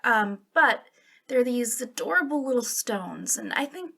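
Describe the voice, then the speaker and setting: A friendly young witch who runs a little shop, played by a woman in an ASMR roleplay. She speaks softly and unhurriedly in a fairly high voice that often drops into vocal fry. Her manner is eager but awkward, hesitant and a little giggly. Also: expressive, measured pace, exaggerated voice. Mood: chatty, awkward.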